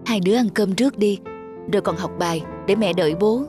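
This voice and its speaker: A woman voicing a gentle, caring young pregnant wife in a cartoon. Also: medium pitch, brisk, over music, natural voice. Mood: worried. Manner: soft, caring.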